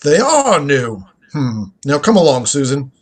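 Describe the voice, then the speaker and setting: A man's voice: a little high, big pitch swings, unhurried, husky, exaggerated voice. An actor playing a brusque, eccentric old grandfather in a live radio drama reading, curt and imperious. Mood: grumbling.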